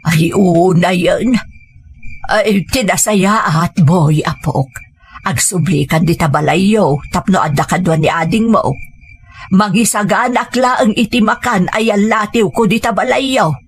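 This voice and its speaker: Woman acting a husky, scolding older mother. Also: mid-range voice, measured pace. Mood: chiding. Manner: reproachful, lecturing. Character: scolding older mother, weary of her lazy son. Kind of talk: Ilocano audio drama acting.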